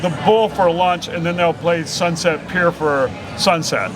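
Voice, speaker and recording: A man hosting a casual interview, backing his guests with a relaxed, drawling manner. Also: fairly high pitch, a little slow, over music, low-quality recording. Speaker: podcast host. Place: outdoors in front of a pizza shop. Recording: street noise behind.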